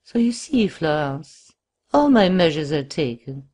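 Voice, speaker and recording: A woman's voice: low voice, very animated, unhurried, exaggerated voice. Woman doing a raging crippled villain. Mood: cold menace. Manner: wild swings from pleading to shouting. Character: murderous crippled man, desperate and raging.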